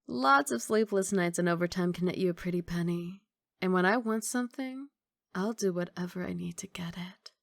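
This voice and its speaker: A woman's voice: lowish voice, moderate pace, creaky, no room sound. A woman playing a confident, doting young mafia boss girlfriend in an intimate, teasing ASMR romantic roleplay. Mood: intimate, sincere. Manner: playful teasing, intimate one-sided conversation.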